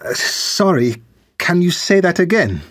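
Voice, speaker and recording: A man from Switzerland answers exam questions as a student, slowly and with slight hesitations. His pitch moves in a lively way, and the recording is dry. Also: dry recording. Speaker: exam candidate.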